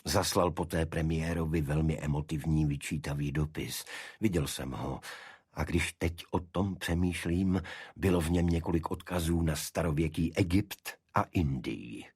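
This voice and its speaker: A male narrator puts on a secretive senior official in a detective audiobook. He is formal and evasive, explaining carefully in a low, husky voice with a very dry sound. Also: darkish tone, no room sound. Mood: guarded recollection.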